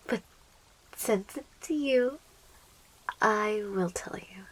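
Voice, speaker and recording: A woman voicing a young, possessive, lovestruck plant monster girl in an intimate, breathy, slow and coaxing ASMR roleplay, close to the microphone. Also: mid-range voice, poor audio. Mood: teasing, sweet. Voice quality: breathy, whispery. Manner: slow, coaxing, teasing, giggly, doting.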